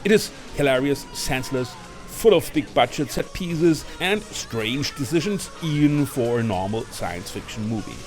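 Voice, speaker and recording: A male reviewer narrates a scripted ranking of movies. His voice sits at an average pitch for a man. Music runs behind the narration. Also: expressive, moderate pace. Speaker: video presenter and film reviewer.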